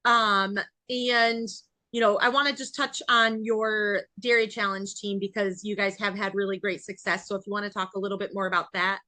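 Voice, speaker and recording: Female American podcast host, bubbly and informal, bright tone, dry recording. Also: mid-range voice, level tone, normal speed, dry recording. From New York, United States. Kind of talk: casual chatty interview.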